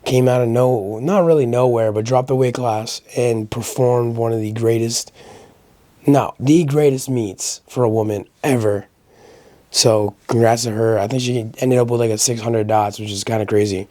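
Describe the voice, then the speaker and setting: A man hosting a casual solo video commentary, giving opinions conversationally, his voice a little raspy as he gets over a sickness. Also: mid-range voice, steady tone, moderate pace, mellow, dry recording. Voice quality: slightly raspy. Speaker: host of a powerlifting recap channel.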